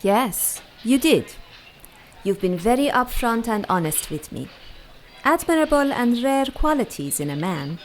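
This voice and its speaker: An actress playing a poised, worldly woman with measured, formal speech in a 1940s noir radio drama. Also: a little high, very animated, slight background noise. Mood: cool, amused. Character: poised, worldly 1940s female emissary of a scientist.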